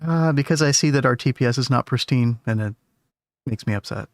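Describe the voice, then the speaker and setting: A male streamer talks through a game in an easygoing, wandering way, chatting to his viewers as he works. His voice is slightly hoarse from overuse, sitting at a middle pitch. The sound is dry and close, with no room around it. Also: a little fast, dry recording. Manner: rambling, easygoing, talks to chat. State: losing his voice. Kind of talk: casual gameplay commentary.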